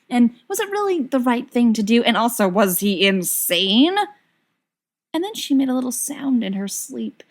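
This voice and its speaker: A woman reading fiction aloud as an audiobook narrator, in an expressive scripted style. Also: a little high. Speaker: audiobook reader.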